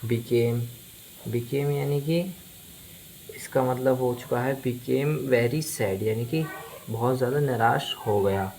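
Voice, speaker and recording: Indian guy, hindi speaker, teaching english real slow n deliberate, some noise in the background. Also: medium pitch, darkish tone, rough recording. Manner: deliberate, repetitive, explanatory. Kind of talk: bilingual language lesson, phrase by phrase.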